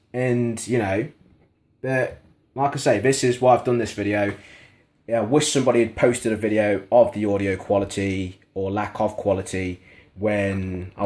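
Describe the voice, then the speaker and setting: A man giving a casual, frankly critical product review and demonstration indoors in a conversational way. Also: fairly low pitch. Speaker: gadget reviewer. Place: indoors in a kitchen.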